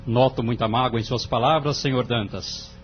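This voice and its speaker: A man playing a solemn, probing judge in an audio drama, speaking formally and with measured phrasing. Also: medium pitch, slight background noise, natural voice. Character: solemn, probing, fair-minded male family judge. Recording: dull lo-fi audio with music behind.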